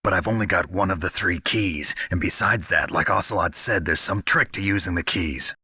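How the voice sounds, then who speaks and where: A gruff special-ops soldier for a video game. The voice sits very low and husky, with a hard forward edge. He is terse and sardonic, world-weary and suspicious. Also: normal speed, dry recording, clean studio sound, full character voice. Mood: terse, questioning. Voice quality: gravelly, husky. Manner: terse, deadpan. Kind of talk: dramatic video game voice acting.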